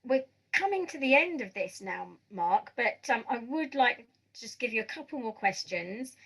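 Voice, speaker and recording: A woman's voice: a little high, animated, moderate pace, vocal fry, fairly bright tone, husky, poor audio. A British woman interviewing a guest for a podcast in a conversational style.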